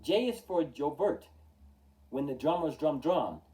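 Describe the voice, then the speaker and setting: Animated man reading aloud, slightly distant. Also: fairly high pitch. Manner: theatrical, measured delivery with emphatic openings and falling line endings. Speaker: storytime host reading aloud. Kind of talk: expressive children's picture-book read-aloud in rhyme.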